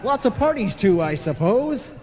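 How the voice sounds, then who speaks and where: Radio drama role: stout, folksy, pompous male ghost played by a man, high and animated, in long reverb. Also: normal speed. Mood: musing, proud. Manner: hearty, folksy, given to speechifying. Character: jovial, stout, pompous small-town speechmaker, newly dead ghost. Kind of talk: old-time radio play acting. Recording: reverberant, noisy analogue tape of radio play.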